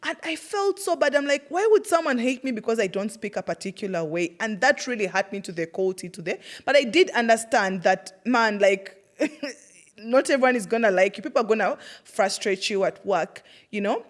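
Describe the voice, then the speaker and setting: A woman's voice: on the high side, normal speed. A young Ugandan woman with a thick Ugandan English accent, hosting a personal reflective monologue and telling stories with laughter and plenty of fillers. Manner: animated storytelling with laughter and fillers. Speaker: host.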